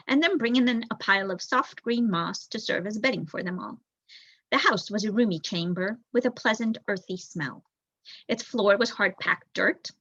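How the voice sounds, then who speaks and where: Bright-voiced young woman reading a story. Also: mid-range voice, no echo, muffled recording. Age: young adult. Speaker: volunteer audiobook reader. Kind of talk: expressive children's story narration.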